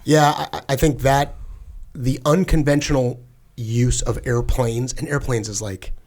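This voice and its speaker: A man's voice: mid-range voice, fairly even intonation, measured pace, darkish tone. Casting note: former fighter pilot guest, middle-aged man, mid-range voice, earnest and chatty, leans on fillers. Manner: earnest, with frequent "like" fillers and restarts. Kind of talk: casual conversational interview.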